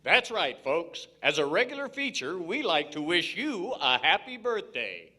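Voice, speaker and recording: Excitable vintage radio show host, acted by a man, forceful bright tone, lively swoops, folksy showman. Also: sits high, unhurried, full character voice. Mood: folksy, upbeat. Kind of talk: 1940s radio variety show acting.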